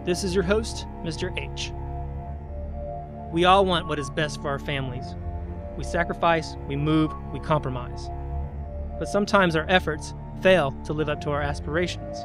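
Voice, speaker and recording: Man playing solemn anthology host over loud music. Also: on the high side, normal speed, close to an everyday voice. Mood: solemn, ominous. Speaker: host of an audio anthology show. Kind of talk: scripted narration introducing an audio drama. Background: music under the voice.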